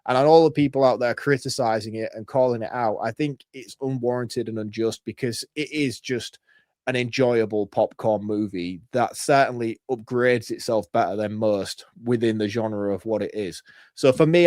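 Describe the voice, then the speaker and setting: Male podcast co-host, mid-pitched, blunt and teasing, dry close sound. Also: normal speed, a little mellow, no echo. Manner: opinionated, swears casually, teasing. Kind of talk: casual film review chat.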